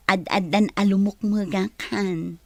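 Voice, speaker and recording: A woman's voice: mid-range voice, fairly even intonation, slow, vocal fry, a little mellow. A woman with a Filipino accent playing a sweet, anxious young nurse and girlfriend in a radio drama.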